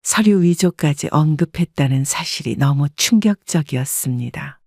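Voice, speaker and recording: A woman narrating a first-person family story in a calm, measured manner. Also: deep voice, vocal fry, no room sound, studio quality.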